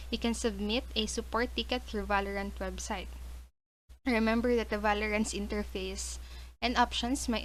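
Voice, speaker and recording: Female tutorial presenter, mid-range voice, instructive read of prepared steps with small slips, slightly noisy recording. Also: medium pitch. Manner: instructional, reading from prepared steps with occasional stumbles. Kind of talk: step-by-step tutorial narration. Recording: clear background noise.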